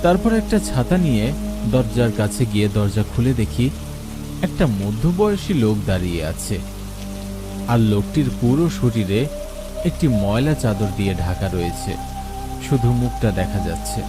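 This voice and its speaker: A man playing a sceptical, baffled storyteller in a first-person horror cartoon, with an expressive, questioning manner. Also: fairly low pitch, normal speed, loud music behind the voice. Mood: suspenseful narrating. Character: sceptical, baffled man narrating his ghostly night encounter. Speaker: narrator and lead character.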